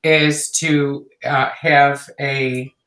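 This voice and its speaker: Halting man explaining things in a roomy echo. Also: mid-range voice, level tone, pushed and bright. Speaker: guest on a travel livestream. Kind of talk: casual explanatory chat. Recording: roomy, echoing call audio.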